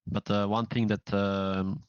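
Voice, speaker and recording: A man answering interview questions as a team's in-game leader, measured and pausing to find his words. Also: on the low side, level tone, clear, resonant tone, no room sound. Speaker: professional gamer, in-game leader. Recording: dull lo-fi sound.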